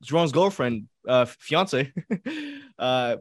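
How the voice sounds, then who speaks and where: A man's voice: medium pitch, huge pitch swings, drawn-out words, slightly rough, dry recording. Casual man co-hosting, dry close sound. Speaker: podcast co-host. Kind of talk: casual chat.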